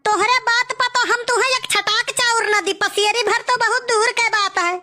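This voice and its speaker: A woman's voice: extremely high pitch, steady tone, moderate pace, sharp tone. A woman playing a scornful young village housewife in a cartoon comedy, scolding sarcastically with an Awadhi accent.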